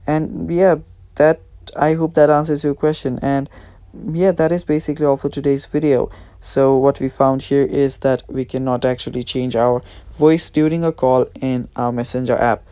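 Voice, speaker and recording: A man narrates a casual how-to tutorial as its host. His voice is soft-edged and relaxed, with a smooth, clean tone. The sound is dry and close, and his phrasing is informal. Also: medium pitch, normal speed, dry recording.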